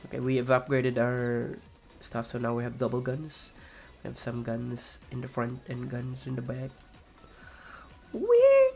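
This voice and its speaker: Raspy gravelly young man, rambling. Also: mid-range voice, sing-song, a little slow, faint music behind. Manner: rambling, soft-spoken, many fillers and restarts. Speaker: streamer. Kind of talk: casual chat while playing a game.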